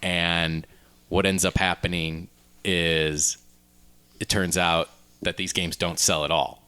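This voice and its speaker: Low-voiced man in casual podcast chat. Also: flat delivery, slow pace, bright, forceful tone, clean tone. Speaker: podcast co-host. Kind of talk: casual chat, pitching ideas among friends.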